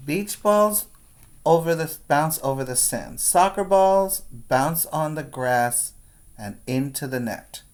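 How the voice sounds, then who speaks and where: A man teaching preschoolers, reading a picture book aloud with deliberate, instructional phrasing and short explanatory asides. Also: a little high, expressive. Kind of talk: read-aloud for young children with explanatory asides.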